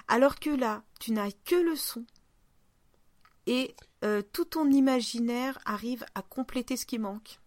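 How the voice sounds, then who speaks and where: A woman's voice: a little high, a little slow, darkish tone, no room sound, studio quality. A woman hosting a podcast in a casual, informal and playful conversational style. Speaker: podcast host.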